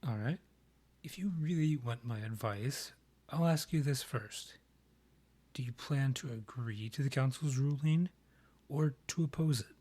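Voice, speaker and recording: Husky, courtly vampire king, acted by a man. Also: mid-range voice, warm tone, natural voice. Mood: measured, advisory. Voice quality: husky, breathy. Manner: formal, measured, theatrical phrasing. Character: proud, courtly male vampire monarch, rival and ex. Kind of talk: scripted roleplay audio, ASMR.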